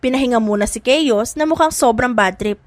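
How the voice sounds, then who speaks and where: High-voiced woman narrating a dramatic story. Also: brisk, no echo. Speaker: audiobook narrator.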